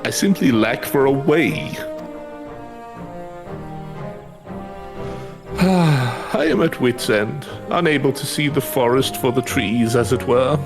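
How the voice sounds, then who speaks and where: A man's voice: medium pitch, unhurried, over music, natural voice. Man playing a courteous, anxious elderly scholar. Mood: anxious, despairing. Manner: formal, archaic, hesitant wording. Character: elderly male scholar, courteous, earnest, anxious, devoted to research. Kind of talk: fantasy game dialogue read aloud.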